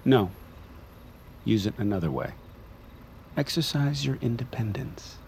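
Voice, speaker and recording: An actor playing a wealthy, controlling male client, terse and quietly authoritative, in an understated audio drama. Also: mid-range voice, animated, unhurried, creaky, a little hiss or hum. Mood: calm, firm.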